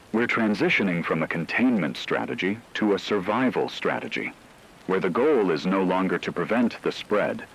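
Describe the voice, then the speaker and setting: A man playing a grave radio news anchor who reads a scripted emergency report in a measured, formal way, over a hissy broadcast. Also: deep voice, fairly bright tone, poor audio.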